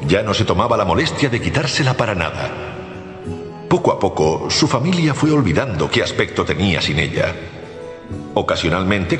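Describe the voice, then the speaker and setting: A man narrating a storybook tale for radio in an expressive, theatrical way. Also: deep voice. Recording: echoey long reverb, music behind.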